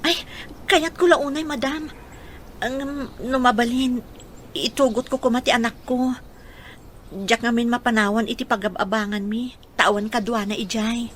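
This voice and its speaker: A woman's voice: a little high, steady tone, moderate pace, pauses often, slight background noise, lightly acted. A woman playing a humble, struggling single mother in a radio drama, polite and earnest. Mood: grateful, deferential. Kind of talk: Philippine radio drama acting.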